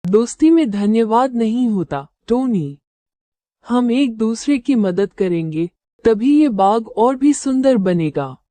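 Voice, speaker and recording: A woman voicing a smooth-talking male crow in a cartoon moral story, with a measured, matter-of-fact manner. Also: a little high, animated, dark tone, no echo. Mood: friendly.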